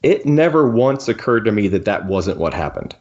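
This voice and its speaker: A man with a fairly low voice co-hosts a podcast on a slightly dull, lo-fi recording. He discusses films and books casually and conversationally, slipping imagined quotes into his talk. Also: sing-song, moderate pace, a little mellow. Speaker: podcast co-host. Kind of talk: casual film and book discussion.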